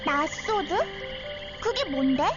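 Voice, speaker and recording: A woman voicing an innocent, energetic, childlike little girl in a Korean game story, full of exclamations. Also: sits high, takes it slowly. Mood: curious. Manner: childlike, exclamatory, speaks of herself by name. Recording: dull sound under loud music.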